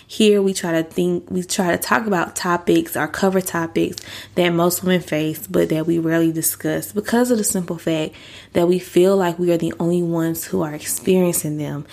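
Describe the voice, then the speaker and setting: Breathy-sounding pregnant woman, low gravelly voice. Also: steady tone, normal speed, a little mellow. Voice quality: deeper than usual. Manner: earnest, chatty, uses y'all. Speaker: podcast host. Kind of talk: casual faith-based podcast talk.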